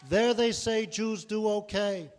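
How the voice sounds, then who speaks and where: A man with a slightly high voice reads literary prose from a script. He presents it as a performer on stage at a live event. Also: measured pace, soft background music. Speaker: performer reading and translating literary text.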